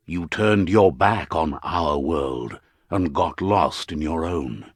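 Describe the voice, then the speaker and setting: A man playing a wry, solemn storybook narrator of a dying animal world in a video game, speaking in a measured, theatrical, portentous way. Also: rumbling low, husky, dry recording, clean studio sound, full character voice. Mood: grave, warning.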